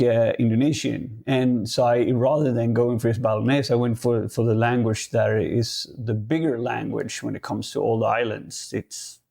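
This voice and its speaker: A man in his thirties, a native Swedish speaker with a Swedish accent, talking casually as a podcast guest and often pausing and restarting while he searches for words. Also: mid-range voice, gravelly, mellow. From Sweden.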